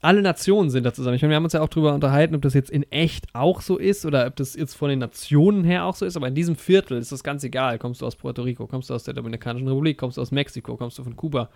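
A man talks about a film in a loose, eager ramble, piling up fillers and restarting his sentences. His voice has a gravelly edge, and the recording is dry and close. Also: medium pitch, rapid-fire, few pauses, darkish tone, dry recording. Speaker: podcast co-host. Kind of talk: casual film discussion.

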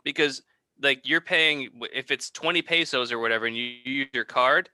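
A man with a sharp, bright voice explains insistently, pressing his point again and again. The sound is close and dry, with no room echo. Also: medium pitch, normal speed. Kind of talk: casual chat.

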